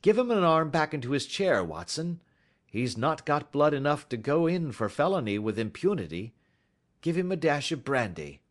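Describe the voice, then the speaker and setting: Guy doing a clever smug gentleman detective for a story, wry and precise, pitch swings about a lot. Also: very animated, normal speed. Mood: commanding, scornful. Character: shrewd, self-assured male gentleman detective, gently mocking.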